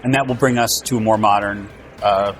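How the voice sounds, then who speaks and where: A man's voice: fairly low pitch, fairly even intonation, brisk, slight background noise. A man hosting an interview, asking prepared questions. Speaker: interviewer, host. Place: naval trade show event.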